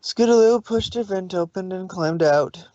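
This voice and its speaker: A woman's voice: on the low side, moderate pace, dark tone, no room sound. Woman narrating, dull dry recording. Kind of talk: scripted story narration.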